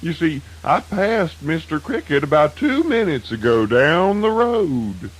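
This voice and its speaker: A man's voice: on the high side, very animated, measured pace, slightly rough, full character voice. A man voicing a sly, easygoing trickster rabbit in a children's radio folk tale, teasing in a folksy Southern US drawl, with old-recording hiss. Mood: casual, teasing.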